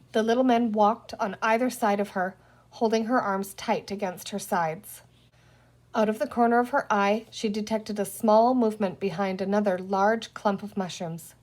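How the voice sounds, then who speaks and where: A woman reading a children's storybook aloud as narrator. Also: mid-range voice. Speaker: reader, teacher reading aloud.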